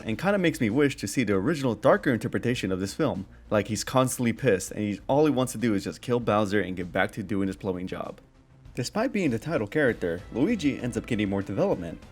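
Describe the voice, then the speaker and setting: A man's voice: on the low side, darkish tone. A man reviewing a film in casual, scripted video-essay narration with an informal manner. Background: background music under voice-over.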